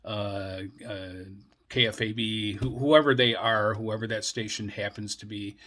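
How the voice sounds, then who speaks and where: An American man with a slightly low voice gives an unscripted explanatory talk. He speaks haltingly and deliberately, wandering through his points like a hobbyist presenter addressing viewers. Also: steady tone, pushed and bright. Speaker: radio enthusiast presenter.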